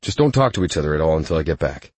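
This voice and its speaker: A man's voice: a bass voice, very animated, rapid-fire, husky, no echo, full character voice. A male audiobook narrator voicing an exasperated, fussy assistant who pleads urgently to keep the peace. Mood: weary, pleading.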